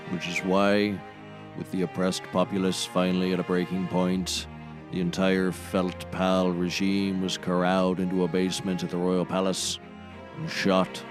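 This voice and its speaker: A man playing a gloomy, deadpan comedy sidekick who narrates solemnly like a documentary. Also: deep voice.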